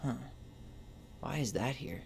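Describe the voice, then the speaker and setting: A man's voice: mid-range voice, expressive, slow pace, gravelly. An actor playing a wounded, angry young American man in a naturalistic video game drama. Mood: muttering, focused. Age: young adult. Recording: noisy game capture.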